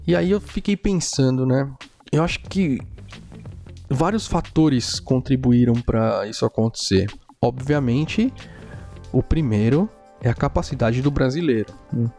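Brazilian male podcaster, gravelly and mellow, loose conversational monologue. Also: mid-range voice, unhurried, soft background music. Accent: Brazilian Portuguese. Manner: chatty, rambling, frequent "né?" tags. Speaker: podcast host. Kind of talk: casual solo podcast monologue. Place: home in a small town.

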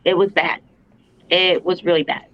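A woman with a fairly deep voice, earnestly telling a story as a podcast co-host, halting with fillers and restarts. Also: fairly even intonation, a little hiss or hum. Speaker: podcast co-host, paranormal investigator. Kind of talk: casual storytelling. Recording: dull lo-fi podcast audio, dry.

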